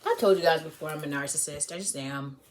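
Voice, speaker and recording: A woman's voice: deep voice, fast. A woman chatting casually to her followers in a playful, exclamatory way, with self-mocking vanity.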